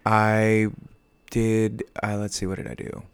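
Low-voiced American man in casual conversation. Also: completely flat delivery, a little slow, clear, resonant tone, dry recording. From United States. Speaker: podcast guest, musician.

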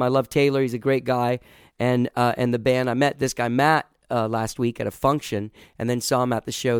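A hoarse man hosts a casual talk show, chatting in a loose, rambling way with plenty of "uh"s and restarts. His voice sits in an ordinary middle pitch. The recording is dry, with little room sound. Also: no room sound. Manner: rambling, enthusiastic, with many fillers and restarts. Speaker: show host.

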